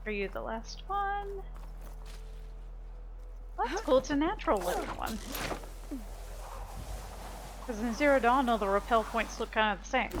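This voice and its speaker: A woman's voice: a little high, expressive, measured pace, long pauses, background noise. A woman giving casual, chatty video game commentary, thinking aloud as she plays.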